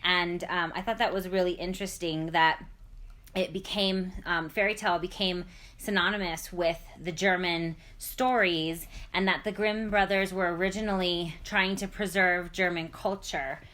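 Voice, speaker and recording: American woman hosting, lower-pitched voice. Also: fairly even intonation, moderate pace, fairly bright tone. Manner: encouraging, prompting with questions. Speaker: podcast host. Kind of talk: casual educational discussion.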